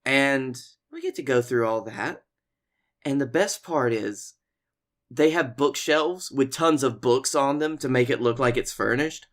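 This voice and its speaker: A man's voice: medium pitch, a little slow. A man with an American accent telling casual, rambling comic stories as a podcast co-host, swearing freely as he goes. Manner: rambling, profane, conversational. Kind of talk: casual comic storytelling chat.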